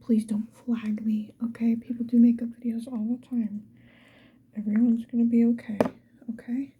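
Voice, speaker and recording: A woman's voice: a little high, flat delivery, moderate pace, phone-quality sound, background noise. A woman whispering breathily in a casual, rambling ASMR style, unhurriedly thinking aloud. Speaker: ASMR video creator.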